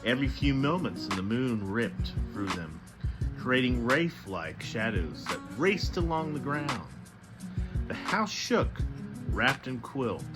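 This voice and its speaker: A man's voice: mid-range voice, sing-song, takes it slowly, over loud music. A man hosting a storybook read-aloud, reading with dramatic emphasis and adding casual commentary. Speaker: host reading books aloud.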